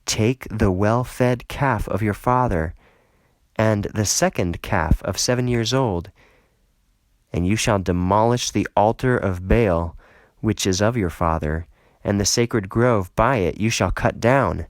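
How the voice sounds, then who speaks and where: A man voicing a calm, commanding God with a solemn, deliberate manner in a dramatised scripture reading. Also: lowish voice, dry recording. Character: calm, commanding male God giving orders.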